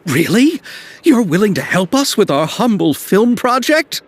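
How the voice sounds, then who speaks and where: A man's voice: sits high, big pitch swings, measured pace, exaggerated voice. A man playing a polite, eager, fussy film director in a video game. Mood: delighted. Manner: courteous, eager, careful. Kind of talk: video game cutscene acting.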